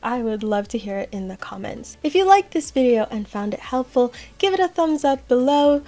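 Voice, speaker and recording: Female tech vlogger, higher pitch, conversational app tutorial host with personal opinions. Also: very animated, normal speed, warm tone. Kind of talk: casual app walkthrough.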